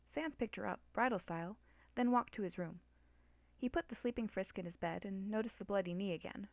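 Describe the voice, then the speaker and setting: A woman's voice: medium pitch, a little fast, no room sound. Woman narrating a story, dry recording. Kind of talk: scripted story narration, dramatic reading.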